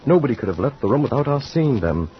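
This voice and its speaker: An actor playing an anxious young passenger who narrates and reacts excitably in a 1940s radio drama. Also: male voice, mid-range voice, fast, lightly acted. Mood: grim narration. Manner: nervous, excitable. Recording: dull noisy old radio recording.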